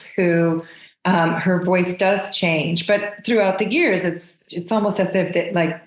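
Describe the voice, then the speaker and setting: A woman's voice: fairly low pitch, roomy sound, rough recording. A woman from the United States, a guest channeler, chatting in an effusive and enthusiastic way. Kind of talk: casual interview chat.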